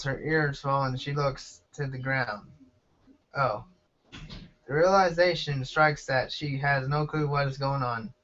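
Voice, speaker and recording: Bright-voiced man reading a story, lo-fi. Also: medium pitch. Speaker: amateur fan fiction reader. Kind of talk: reading a first-person story aloud. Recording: dull lo-fi home recording.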